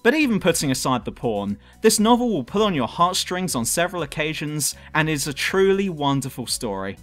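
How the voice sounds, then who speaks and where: A man's voice: fairly high pitch, big pitch swings, fairly quick, fairly bright tone, faint music behind. A man reading a scripted countdown review as host, with a cheeky, irreverent manner. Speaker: host and reviewer of a top ten list show.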